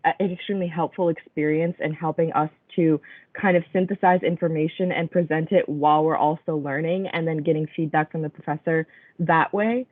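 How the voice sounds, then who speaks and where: A woman's voice: low-pitched, fairly even intonation, brisk, no echo. A young woman student from Texas answers on a panel, hedging often with fillers. Her voice comes through tinny and narrow, dry as a phone line. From Houston, Texas. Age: young adult. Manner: hesitant, many um fillers.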